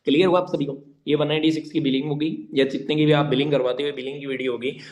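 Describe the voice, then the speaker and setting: Emphatic man, higher-pitched voice. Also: steady tone, fast, a little mellow. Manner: emphatic and repetitive, addressing listeners directly with rhetorical questions; mixes English words and phrases into speech. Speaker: online network-marketing trainer. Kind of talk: informal instructional talk to an online meeting.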